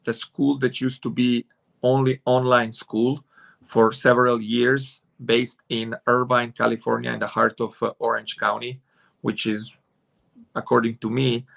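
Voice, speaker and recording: A man's voice: mid-range voice, flat delivery, unhurried, creaky, a bit bright. A man in his forties from Serbia with a Serbian accent, a futsal coach speaking hesitantly with many fillers on a video call from home. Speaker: futsal head coach, guest. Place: room at home with curtains.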